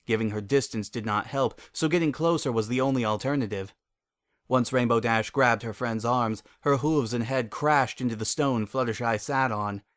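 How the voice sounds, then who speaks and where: Male narrator, medium pitch, scripted dramatic fiction reading. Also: moderate pace, fairly bright tone, no room sound, clean studio sound.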